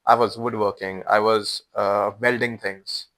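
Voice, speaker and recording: A man's voice: on the low side, level tone, unhurried, fairly bright tone. A man in his early twenties with an Indian accent, chatting casually as a podcast guest in informal, slangy speech. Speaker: podcast guest, former exchange student.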